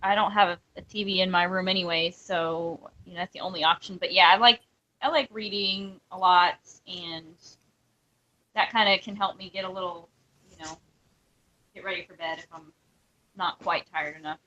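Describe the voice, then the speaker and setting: A woman's voice: fairly low pitch, fairly even intonation, moderate pace, sharp tone. A woman speaking casually as a podcast guest over a lo-fi connection. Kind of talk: casual chat.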